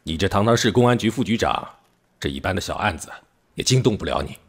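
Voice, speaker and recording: Male actor as dogged veteran detective, middle-aged, firm and measured, expressive range, audio drama. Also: low-pitched, breathy edge, full character voice. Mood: weary, resolute. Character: dogged veteran police detective leading a murder case. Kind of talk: Chinese audio drama acting.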